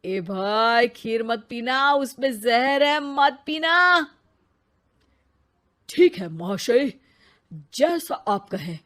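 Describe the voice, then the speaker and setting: A woman's voice: a little high, expressive, drawn-out words, pauses often. A woman acting out a bickering family and magic television figures in a Hindi cartoon story. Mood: urgent. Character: talking magic TV characters and quarrelling elder brother.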